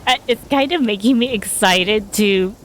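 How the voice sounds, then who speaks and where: Slow-talking woman streaming a game. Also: medium pitch. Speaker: gaming streamer. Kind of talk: casual game playthrough commentary. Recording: faint background noise.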